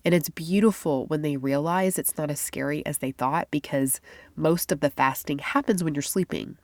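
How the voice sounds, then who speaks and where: A woman's voice: low voice, normal speed, darkish tone, no echo. A woman hosting a faith-based health podcast, talking in a chatty, confiding way with a stuffy, congested nose. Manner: chatty, confiding, laughs often. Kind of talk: casual podcast monologue.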